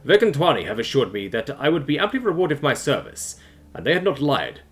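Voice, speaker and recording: A man's voice: medium pitch, sing-song, a little fast, close to an everyday voice. Man voicing a grave, resigned fugitive confessor. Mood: grave resignation. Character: resigned, doomed fugitive recounting his secret story.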